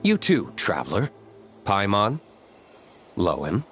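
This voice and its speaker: An actor playing a cool, formal, reserved wealthy gentleman in a video game, speaking with composure. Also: on the low side, wildly swooping pitch, slow, exaggerated voice. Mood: cool, composed. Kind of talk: English video game voice acting. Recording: music behind the voice.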